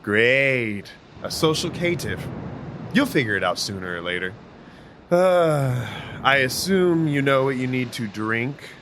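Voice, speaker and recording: A man acting as an impatient vampire mentor in an audio drama, curt and sardonic, with a mid-pitched voice. Clear outdoor ambient noise runs behind him. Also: expressive, measured pace, fairly bright tone. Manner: sardonic, brusque.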